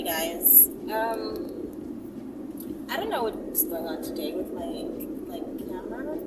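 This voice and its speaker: A woman hosting a casual vlog, chatting in a rambling, playful and bossy way, as if giving viewers instructions. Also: fairly high pitch. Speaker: vlog host. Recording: very noisy, distant mic, vehicle ambience.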